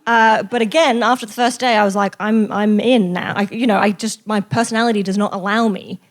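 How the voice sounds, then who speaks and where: A woman telling an anecdote to an audience in a casual, animated, self-deprecating way. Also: mid-range voice, a little fast. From raised in Australia. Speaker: guest journalist and author.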